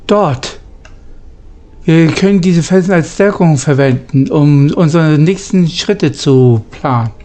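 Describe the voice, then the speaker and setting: A man giving playthrough commentary on a game, partly in character, in a halting, muttering way. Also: fairly high pitch, warm tone. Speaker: gamer playing and commenting.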